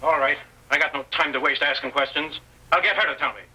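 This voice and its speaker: An actor playing a ruthless, scheming outlaw killer with menacing tough talk in an old radio western. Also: male voice, fairly high pitch, quick pace, pushed and bright, slightly rough, heavily acted, rough recording. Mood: threatening. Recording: vintage radio drama with music bed.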